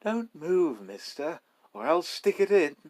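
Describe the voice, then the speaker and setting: A man's voice: high voice, sing-song, slow pace, gravelly, a little mellow, voice pushed up, heavily acted. A man voicing a polite but menacing male robber with a sweet, almost pained voice in a story reading.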